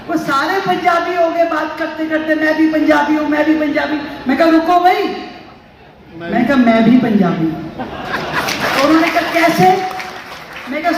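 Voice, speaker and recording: A woman's voice: sits high, slight background noise. A woman giving a chatty, anecdotal speech at a ceremony, raising her voice for punchlines. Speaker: political speaker. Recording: echoey hall, mic from far off.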